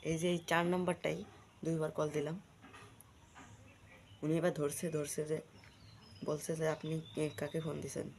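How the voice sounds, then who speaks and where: Gravelly Bangladeshi man in casual vlog chat. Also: on the high side, level tone, a little slow, pauses often, a little mellow, slight background noise. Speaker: prank-call video host.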